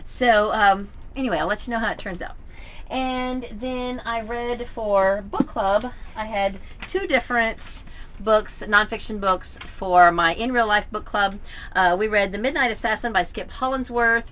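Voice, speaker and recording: A woman with an American accent and a clearly bright, forward voice at a medium pitch. She talks in a casual, chatty way, like a book vlogger sharing frank opinions, and leans on words for emphasis. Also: measured pace, rough recording. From Oklahoma, United States. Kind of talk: casual monthly reading wrap-up, chatty opinion.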